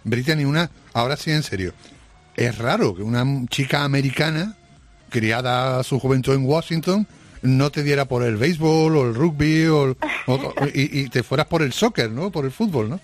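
A man's voice: mid-range voice, normal speed, faint music behind. A man co-hosting a radio show, bantering playfully and agreeing along with the host.

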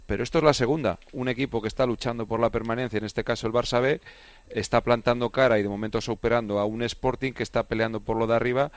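A man analysing a football match for radio in a measured, explanatory way. His delivery stays flat and even, with little movement in pitch. The mic sound is dry and close. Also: no echo.

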